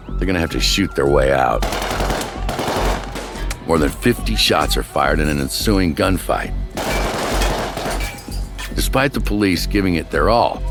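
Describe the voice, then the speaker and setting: A man's voice: very deep voice, creaky. A man narrating a true-crime story in a dramatic, suspenseful scripted documentary style. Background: background music.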